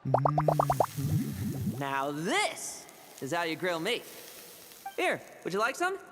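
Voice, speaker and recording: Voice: mid-range voice, animated, drawn-out words, noisy background. A voice actor playing a playful, theatrical video game interrogator who is teasing and mock-polite with sly menace. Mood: teasing, mock-sweet.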